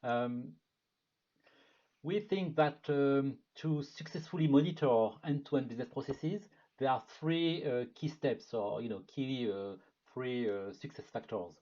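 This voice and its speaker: A man with a French accent walks through a product presentation, pausing often on filler sounds. His voice is mellow and mid-pitched. The webinar audio is dull and lo-fi. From France. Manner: measured, frequent filler sounds.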